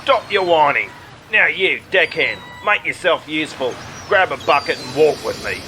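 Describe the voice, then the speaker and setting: Bright-voiced man in a comic radio play. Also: on the high side, animated, normal speed, slight background noise. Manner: voices several characters in a silly radio serial. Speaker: comedy radio performer.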